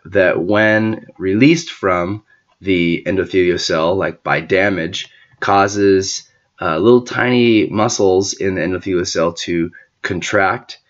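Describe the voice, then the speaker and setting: A man who is sick and losing his voice gives an informal whiteboard lecture, often clearing his throat and using filler words. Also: sits low, dull audio. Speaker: teacher explaining a medical topic.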